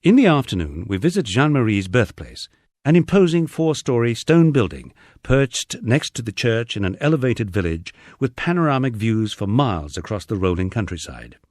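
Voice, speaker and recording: A man's voice: medium pitch, very animated, normal speed, darkish tone, no echo, studio quality. A middle-aged man with an English accent reading a first-person memoir as a scripted narration. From England.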